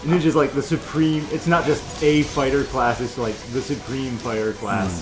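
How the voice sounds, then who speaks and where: A man's voice: medium pitch, fairly even intonation, moderate pace. A man co-hosting a gaming video, giving casual, jokey gameplay commentary and prone to playful exclamations. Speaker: gaming video co-host and player. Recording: game music behind the voice. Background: video game music.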